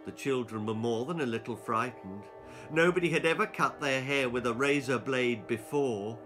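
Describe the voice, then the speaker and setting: A man in his sixties narrating a children's story in an expressive, deliberate, theatrical way. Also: medium pitch, faint music behind. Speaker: author reading his own stories. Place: small book-lined room indoors.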